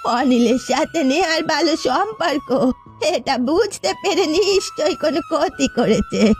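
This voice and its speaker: Cartoon grandmother, acted by a woman: elderly, grief-stricken, crying, high voice with big swings. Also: expressive, soft background music. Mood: grieving. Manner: slow, wailing delivery. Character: frail old grandmother, tearful and grief-stricken. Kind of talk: cartoon story drama.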